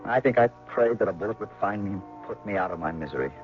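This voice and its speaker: An actor playing an earnest, brooding young American man who looks back bitterly, in halting 1970s radio drama style. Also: lowish voice, expressive, music in the background, lightly acted. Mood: bitter, rueful. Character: earnest young lover turned embittered veteran, recounting his past. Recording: muffled, noisy old radio broadcast.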